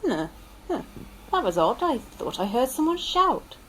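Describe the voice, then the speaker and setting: A chatty village police sergeant, voiced by a woman talks in a breezy, folksy way. The voice sits high and swings widely in pitch. Amateur audio drama. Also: big pitch swings, measured pace, heavily acted.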